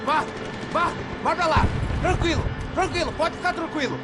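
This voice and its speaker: An actor playing a hostile street gang gunman who shouts threats and insults, heard from some distance off the mic. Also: extremely high pitch, a little fast, loud music behind the voice. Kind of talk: video game cutscene voice acting. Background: loud cinematic score.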